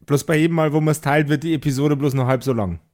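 A man improvising as a game master, narrating and bantering haltingly as he searches for words. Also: a little high, a little mellow.

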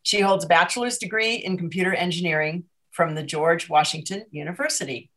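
A woman's voice: lowish voice, a little fast, fairly bright tone. A middle-aged woman interviewer giving an eager, upbeat introduction on stage. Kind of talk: onstage conversation.

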